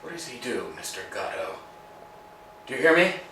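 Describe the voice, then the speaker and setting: An actor playing an anxious, philosophizing tramp in a staged reading, swinging from hushed musing to emphatic outbursts. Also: male voice, medium pitch, sing-song, normal speed, slight background noise. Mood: pressing. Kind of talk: amateur staged play reading. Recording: echoey room, distant mic.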